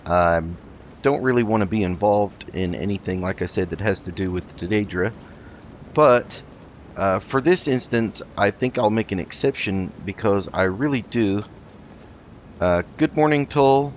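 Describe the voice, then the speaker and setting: A low-voiced man hosting a roleplay gameplay video. He talks casually and in character, polite and a little hesitant, with many pauses. The sound is dull and lo-fi, with noise behind him. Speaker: game roleplay video host. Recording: dull lo-fi mic with background noise.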